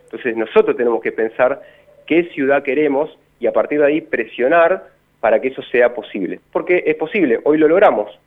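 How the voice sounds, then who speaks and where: A man speaks over a narrow, tinny phone connection with a Buenos Aires accent and a mid-pitched voice. He answers as an interviewed community activist, earnest and emphatic, circling back on phrases to stress them. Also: brisk. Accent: Buenos Aires Argentine Spanish. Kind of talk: spontaneous radio interview answers. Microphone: phone call.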